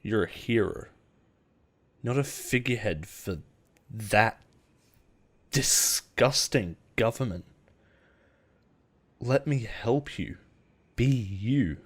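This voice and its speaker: A man playing a possessive, persuasive boss in an intimate audio roleplay, speaking in a measured, earnest way with deliberate pauses. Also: lowish voice, expressive. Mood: intense, pleading. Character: possessive, persuasive male CEO boss, smooth and protective. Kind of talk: intimate dramatic roleplay monologue.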